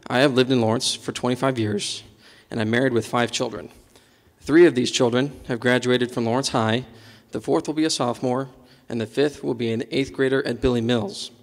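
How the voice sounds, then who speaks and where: A young man reads a candidate's written statement aloud on a forum panel at a steady, measured pace. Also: mid-range voice. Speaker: campaign committee member reading a statement. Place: large hall, seated on stage panel. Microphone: handheld microphone. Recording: long hall reverb.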